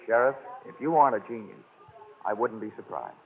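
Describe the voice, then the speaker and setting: An actor playing a wisecracking, cocky investigator with a dry, slangy American delivery in 1940s radio detective style. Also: male voice, medium pitch, brisk, lightly acted. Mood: sly. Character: wisecracking, hard-boiled male investigator, cocky and sardonic. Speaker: lead actor and narrator of a detective serial. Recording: tinny, noisy old radio broadcast.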